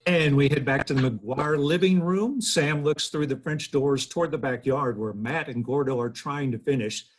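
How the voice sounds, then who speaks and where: A man's voice: medium pitch, moderate pace, husky. A man in his thirties narrating stage directions at a table read, from home over a video call. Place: living room, video call.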